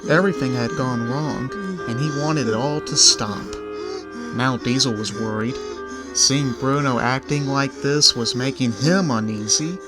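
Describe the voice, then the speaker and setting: A man's voice: medium pitch, a little slow, poor audio. A man narrating a scripted children's story in the third person. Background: background music.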